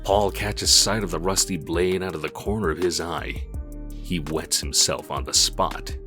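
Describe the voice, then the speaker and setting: A man narrating a comic book script in a dramatic, deliberate storytelling manner. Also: low voice, steady tone, music in the background.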